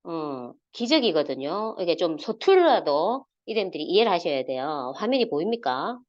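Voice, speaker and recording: A woman in her forties with a Gyeongsang accent, giving a halting, filler-heavy guest talk over a video call. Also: dull audio. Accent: Gyeongsang Korean. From South Korea, Gyeongsang region. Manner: halting, many fillers, lively pitch swings. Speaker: guest speaker.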